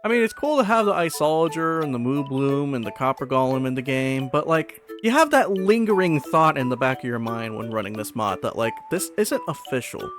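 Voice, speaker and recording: A man hosting a gaming video, giving casual, informal commentary in an animated, conversational way. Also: medium pitch, brisk, hardly any pauses, soft background music. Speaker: host of a gaming video channel.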